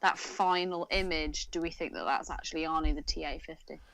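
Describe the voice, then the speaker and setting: A woman's voice: sits low, a little fast, gravelly, a bit bright, poor audio. A woman co-hosting a podcast in a playful, teasing, casual way. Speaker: podcast co-host. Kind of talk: casual chat.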